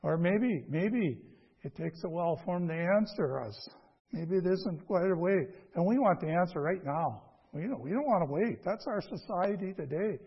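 A man preaching a conversational sermon in a folksy, halting way, repeating phrases and asking rhetorical questions. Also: sits high, lively intonation, slightly rough, dull audio. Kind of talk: conversational sermon with scripture reading.

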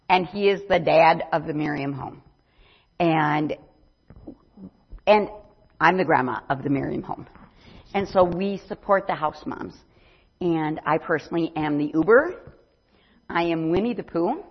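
A woman's voice: deep voice, unhurried, pauses often, breathy edge. An older woman giving a chatty mission presentation at church, rambling with many ums. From not from Brazil. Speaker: missionary speaker. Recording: dull lo-fi sound.

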